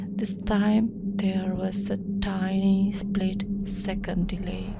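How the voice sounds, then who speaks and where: A woman reading a scripted first-person horror story, slowly and deliberately in short phrases. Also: medium pitch, vocal fry, noisy background.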